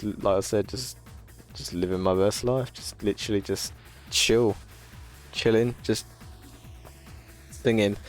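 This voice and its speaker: A man in his twenties, chatting casually and profanely to a live stream audience, rambling and laughing often. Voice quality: low voice. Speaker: livestreamer.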